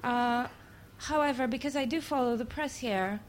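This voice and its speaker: A native Greek woman with a Greek accent, asking an earnest, polite, halting question from the audience at a public event. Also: on the high side. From Greece. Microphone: handheld audience microphone. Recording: faint background noise, live event.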